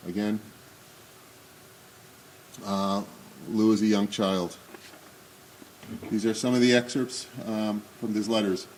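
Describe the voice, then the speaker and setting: A man in his sixties with a booming voice, giving an unscripted talk at a remembrance service with many pauses and fillers, then reading memoir excerpts aloud. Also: flat delivery, darkish tone, slight background noise, poor audio. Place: synagogue hall. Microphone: speaking without a microphone.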